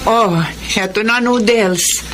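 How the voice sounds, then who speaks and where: Fretful, high-voiced Filipino mother. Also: slow pace, music in the background. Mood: caring, weary. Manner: fretful, emotive. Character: poor, worried, scolding Filipino mother of three. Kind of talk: Tagalog radio drama acting.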